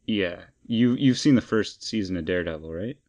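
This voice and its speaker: A man's voice: sits low, animated, moderate pace, darkish tone. A man co-hosting a casual pop-culture podcast, talking in an opinionated, emphatic and rambling way. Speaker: podcast co-host.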